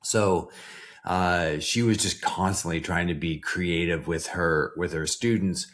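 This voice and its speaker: A man's voice: low voice, fairly even intonation, measured pace, a bit bright. A man with a Canadian accent, a podcast guest chatting casually and often restarting his sentences. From East Coast Canada. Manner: rambling, self-correcting. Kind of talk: casual interview chat.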